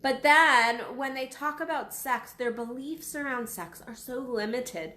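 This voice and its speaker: A woman in her thirties, a sex coach, talking casually and bluntly to camera, her voice raspy from being unwell. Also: high voice, measured pace, sharp tone, echoey room. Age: 30s. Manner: frank, blunt, swears freely. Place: small waiting room at her office.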